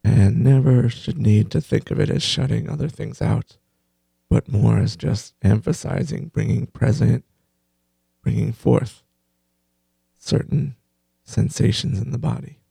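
Very husky, dark-voiced man guiding meditation. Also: mid-range voice, dry recording, low-quality recording. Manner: gentle, unhurried, pausing between short phrases. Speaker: meditation teacher and host. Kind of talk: guided meditation.

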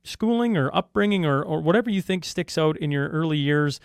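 A man hosting a podcast, asking questions in a halting way with lots of fillers and restarts. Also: a little high, lively intonation, gravelly, clear, resonant tone, no echo, clean studio sound. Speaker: podcast host. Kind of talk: casual interview talk.

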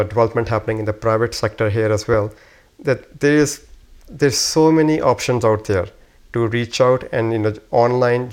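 A man with a middle-pitched voice talks as a guest on a panel. He explains his points informally, in a conversational style.

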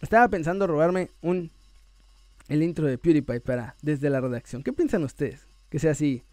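Man, Mexican accent, high-pitched voice, video channel host, casual chat, playful delivery, stretched emphatic words, dry recording. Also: moderate pace, darkish tone, no room sound. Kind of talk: casual chat to viewers, reading out messages and shout-outs.

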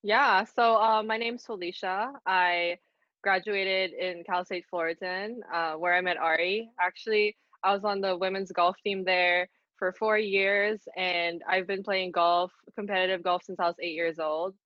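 A woman's voice: lowish voice, fairly even intonation, unhurried, dry recording, highs cut off. A woman in her twenties from the Philippines, co-hosting a podcast in casual, earnest chat. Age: 20s. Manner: earnest, upbeat, many fillers. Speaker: podcast co-host.

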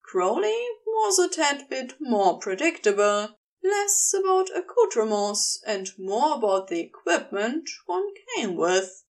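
A woman reading fiction aloud as an expressive scripted narration. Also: a little high, slow, hardly any pauses, distant mic. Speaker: fan fiction audiobook reader.

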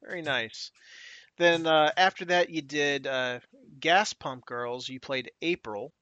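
A man hosting an interview, speaking haltingly with many fillers as he steers through topics. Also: on the high side, sharp tone, no echo, lo-fi sound. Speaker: interview host. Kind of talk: casual interview.

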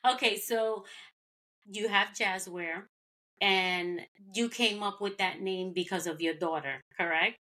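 A woman from New York hosting a podcast interview, bubbly and chatty, laughing often and agreeing quickly. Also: medium pitch, steady tone, sharp tone. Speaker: podcast host. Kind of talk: casual interview chat.

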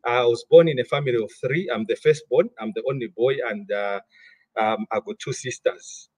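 Husky-voiced Malawian man, halting speech. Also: medium pitch, a little mellow, no room sound. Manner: repeats words often while thinking. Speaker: guest. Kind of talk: interview conversation.

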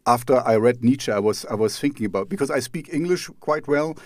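A man from East Germany hosts an interview in English with a clear German accent. He circles his questions with fillers and false starts. His voice sits close and dry with no room echo, at an ordinary pitch for a man. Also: lively intonation, a little mellow. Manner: rambling, halting questions full of restarts and fillers. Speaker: podcast host. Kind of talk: conversational interview.

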